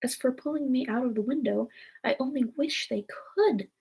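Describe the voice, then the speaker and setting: Woman playing high-voiced, wondering little girl Alice. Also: moderate pace, vocal fry, darkish tone, husky, full character voice, poor audio. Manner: wondering, chatting to herself. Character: thoughtful, self-debating little girl, Alice.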